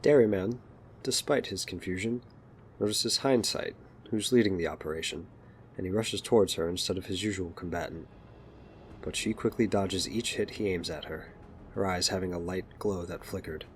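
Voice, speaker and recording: A man's voice: low-pitched, normal speed. A man narrating a scripted audio drama, with ambient noise behind him.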